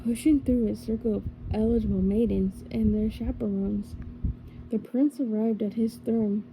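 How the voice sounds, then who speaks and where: A woman reading a storybook in a gentle ASMR style, with slow, deliberate phrasing. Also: fairly high pitch, fairly even intonation, poor audio. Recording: faint background noise.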